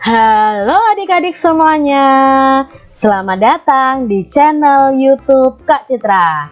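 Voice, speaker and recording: Indonesian woman teaching children, music behind. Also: mid-range voice. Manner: addresses young children, encouraging, with frequent questions. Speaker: teacher hosting an online lesson for children. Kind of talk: upbeat primary-school lesson, explanatory.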